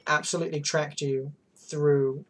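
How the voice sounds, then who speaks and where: A woman's voice: sits low, little pitch movement, unhurried, recorded from a distance, slight background noise. A young woman streaming a game, chatting casually with friends in a playful, rambling, teasing way. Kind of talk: casual gaming chat with friends.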